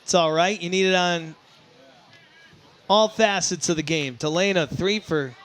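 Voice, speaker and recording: A man's voice: high voice, measured pace, fairly bright tone. A man doing live baseball play-by-play as the announcer, in short, emphatic phrases. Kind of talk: live sports play-by-play commentary.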